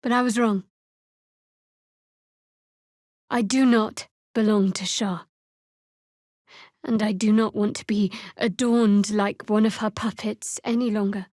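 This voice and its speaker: A woman's voice: medium pitch, fairly even intonation, a little mellow, no room sound, natural voice. Woman voicing a guarded, dry-witted young cleric, close-miked. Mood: resolute, wounded. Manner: dry, measured, wry. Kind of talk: fantasy video game voice acting.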